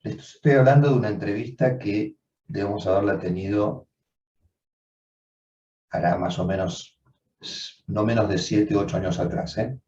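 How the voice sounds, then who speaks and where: Man, psychoanalyst lecturing, low warm voice, dull lo-fi sound. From Argentina. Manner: measured, reflective, with frequent rephrasing. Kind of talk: seminar lecture. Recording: dull, roomy sound from far off.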